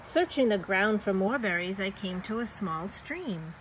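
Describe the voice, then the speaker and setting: A woman's voice: medium pitch, lively intonation, a little slow, rough recording. Woman reading a children's story, background noise. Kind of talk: expressive read-aloud of a children's story.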